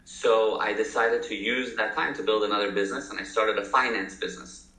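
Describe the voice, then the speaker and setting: A man's voice: on the low side, steady tone, fairly quick, bright, forceful tone. Upbeat man, mixed accent, echoey room. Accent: mixed American and South African. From South Africa and the US. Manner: talkative, upbeat, storytelling with fillers. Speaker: entrepreneur guest. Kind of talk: casual interview talk.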